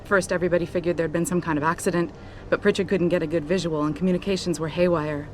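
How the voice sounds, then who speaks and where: An actress playing a loyal, candid female pilot in a video game, speaking in a low, level, often soft voice. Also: steady tone, fast, slight background noise, lightly acted. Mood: steady.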